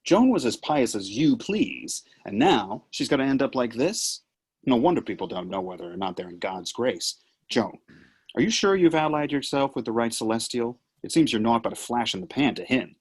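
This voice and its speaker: Male actor as sardonic Satan, husky, mocking, grandiose, highly animated pitch, stage play table read. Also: moderate pace. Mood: needling. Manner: theatrical, taunting.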